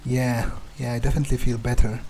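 A man's voice: medium pitch, flat delivery, quick pace, dark tone. A man thinking aloud in character during a video game playthrough, in a hesitant, musing way. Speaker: gamer role-playing in character.

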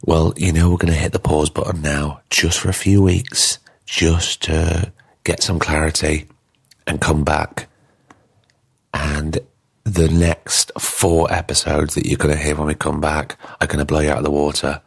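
A man's voice: extremely low pitch, level tone, unhurried, vocal fry, breathy edge. A male podcast host giving an effusive, emphatic and informal closing monologue.

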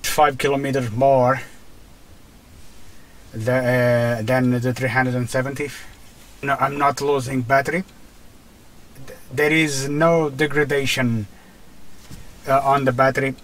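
A man with a Portuguese accent narrates his drive at an unhurried pace, his voice sitting at an average pitch. He stops often, filling the gaps with hesitations and restarts. He is speaking from inside a car. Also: little pitch movement, a bit bright, slight background noise. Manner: halting, many fillers and restarts. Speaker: car vlogger. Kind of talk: casual narration while driving.

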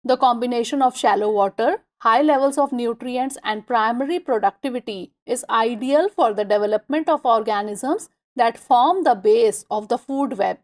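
A woman with an Indian English accent reads scripted lesson notes over slides in an even, measured way. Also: a little high. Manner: even, measured reading of list items. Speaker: exam-prep lecturer.

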